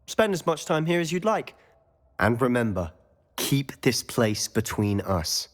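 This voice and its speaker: A man's voice: medium pitch, sing-song, measured pace. A young man playing a confident, inquisitive teenage wizard schoolboy in a video game, eager and probing in conversation. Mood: confiding. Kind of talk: dramatic video game dialogue. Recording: long reverb with music behind. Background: music.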